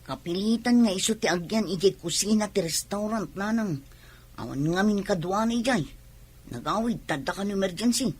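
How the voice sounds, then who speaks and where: A woman's voice: mid-range voice, normal speed, breathy edge, lightly acted. A woman with a Filipino accent playing an unhappy young pregnant wife, complaining and sulky, in a radio drama. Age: young adult. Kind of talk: Ilocano radio drama acting.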